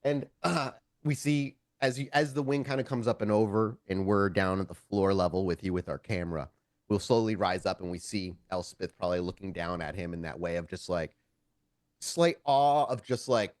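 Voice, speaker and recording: A man with a fairly low voice runs a tabletop game in a dry, close recording. He improvises descriptive narration, often rephrasing mid-thought as he sets a scene. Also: dry recording. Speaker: game master (storyteller).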